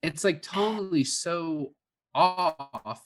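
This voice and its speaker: A man's voice: a little high, extremely slow. A man co-hosting a podcast in a casual film review chat, hedging as he works through his thoughts. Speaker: podcast co-host.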